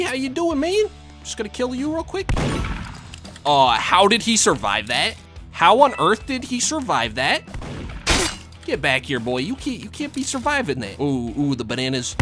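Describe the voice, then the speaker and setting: A young man with a fairly high voice that swings widely in pitch, recording gameplay commentary while a little under the weather. He talks in casual slang with playful exclamations, over background music. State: slightly sick, voice a little deeper than usual. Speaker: gaming video creator.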